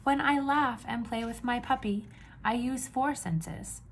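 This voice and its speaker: Slow-paced woman reading aloud to young children. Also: a little high, a little hiss or hum. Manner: instructive, asks simple questions and pauses before confirming answers. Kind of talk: children's read-aloud with questions to the listener.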